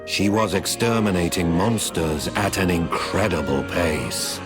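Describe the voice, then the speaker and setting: A grim, very low-voiced narrator of a dark fantasy tale, telling the story in a measured, somber tone. Loud game music swells beneath his voice. The voice is deep and heavy. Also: lively intonation.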